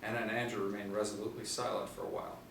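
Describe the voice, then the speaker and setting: Low-voiced male narrator, very echoey room. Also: flat delivery, racing, creaky, a bit bright. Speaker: stage-direction reader. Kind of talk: scripted narration. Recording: very echoey room, distant mic, faint noise.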